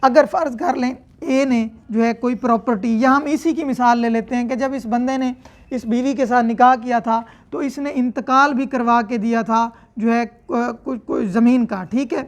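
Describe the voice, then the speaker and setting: A woman's voice: on the high side, steady tone, normal speed. A woman with a Pakistani accent giving a lecture, explaining step by step in a didactic, instructional way. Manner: didactic, step-by-step, checking with tag phrases. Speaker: law lecturer. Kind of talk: explanatory lecture reading statutes in English and explaining them with examples.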